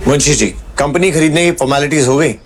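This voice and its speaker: An actor playing a determined, commanding young runaway prince in a Hindi audio drama. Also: male voice, a little high, quick pace, faint music behind, close to an everyday voice. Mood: cool, commanding.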